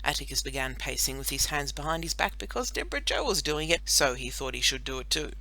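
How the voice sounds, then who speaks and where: A woman narrating a children's audiobook in an animated storytelling style. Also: rumbling low, quick pace, poor audio.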